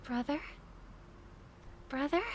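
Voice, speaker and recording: An actress playing a gentle, caring young singer in a video game, polite and earnest. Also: female voice, high voice, takes it slowly, very noisy. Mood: concerned. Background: game music.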